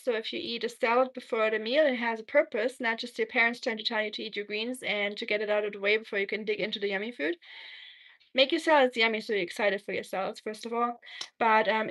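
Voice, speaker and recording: A German-accented woman hosts a casual cooking livestream, sharing kitchen tips and replying to viewers as she works. Her voice sits a little higher than most women's. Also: a bit bright. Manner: chatty, reads and answers viewer comments while cooking.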